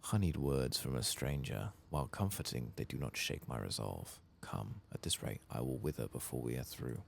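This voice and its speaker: A man softly voicing a despairing, ashamed elven exile from a game, gravelly, formal and solemn. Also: a bass voice, lively intonation, moderate pace, a little hiss or hum, exaggerated voice. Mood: resigned, bleak. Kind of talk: game quest dialogue read aloud softly.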